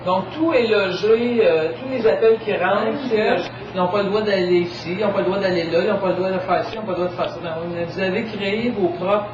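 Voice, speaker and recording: A man's voice: sits high, a little fast, few pauses, crowd noise. A man bluntly explaining rules to a group, repeating himself in lists. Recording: distant echoey room, dull and noisy.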